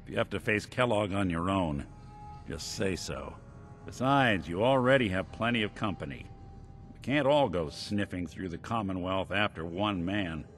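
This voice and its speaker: Low-voiced, weary synth detective. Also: male voice, animated, moderate pace, fairly bright tone. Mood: earnest, reassuring. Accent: American. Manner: wry, world-weary noir detective delivery. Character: weary, wry synth detective, gruff but loyal. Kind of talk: video game character dialogue. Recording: game music behind the voice.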